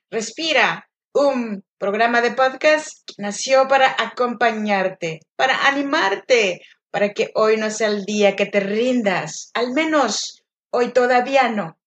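A woman from Oaxaca with a Mexican accent hosting a solo podcast, speaking gently and encouragingly straight to the listener. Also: fairly high pitch. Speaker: podcast host. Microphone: home podcast mic.

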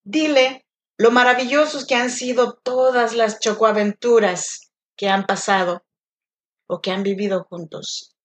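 A woman from Oaxaca with a Mexican accent hosting a solo podcast, speaking gently and encouragingly straight to the listener. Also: on the high side, fairly even intonation, a little slow. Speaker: podcast host. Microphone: home podcast mic.

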